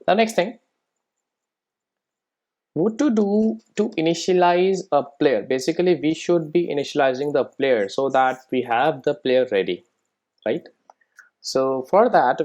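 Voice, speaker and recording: Software tutorial presenter, medium pitch, informal, step-by-step explanation, frequent check-ins. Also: expressive, measured pace. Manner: explanatory, often checking in with "right?" and "okay".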